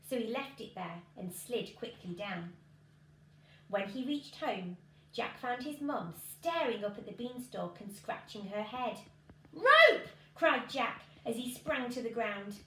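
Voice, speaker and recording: A woman in her thirties reads a children's storybook expressively. Her voice is fairly high. She is recorded in an echoey living room with faint noise. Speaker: teacher reading a story. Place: living room at home, indoors. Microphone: camera a few feet away.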